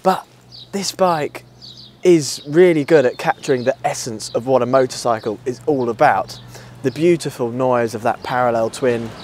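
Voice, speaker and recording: A man's voice: medium pitch, normal speed, darkish tone. Easygoing British man vlogging over outdoor noise. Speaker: motorcycle reviewer, channel host. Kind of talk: casual vlog chat to camera. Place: outdoors at a table outside a country pub. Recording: clear outdoor ambient and vehicle noise.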